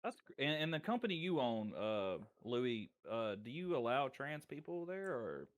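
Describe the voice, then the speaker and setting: A man's voice: mid-range voice, big pitch swings, moderate pace. A man chatting casually as a podcast guest, joking and swearing freely. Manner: profane, joking. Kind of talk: casual chat.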